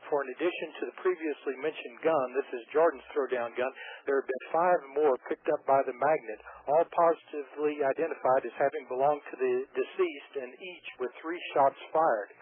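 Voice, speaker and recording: Hesitant guy calling in, tinny phone line, faint hiss behind. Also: on the high side. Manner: halting, many fillers. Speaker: caller. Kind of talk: phone-in call.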